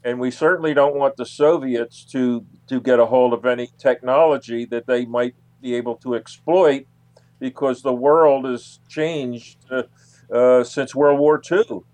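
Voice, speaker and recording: A man explaining things as an interview guest, in a halting way that often repeats words. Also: medium pitch, fairly even intonation, darkish tone, no echo. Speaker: guest, UFO researcher and author.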